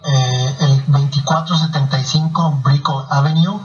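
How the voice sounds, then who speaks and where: A man's voice: a little high, fairly even intonation, normal speed. A man interviewing a guest on the radio and reading out names and addresses in a methodical way. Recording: echoey, distant, muffled radio sound, faint music.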